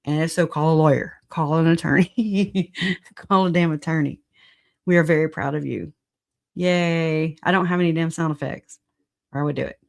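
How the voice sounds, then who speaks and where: A livestream host with a raspy voice, hoarse after a recent illness, chatting casually and laughing a lot at themselves. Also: mid-range voice, steady tone, normal speed. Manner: laughs often, self-deprecating, chatty. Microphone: computer mic on streaming software.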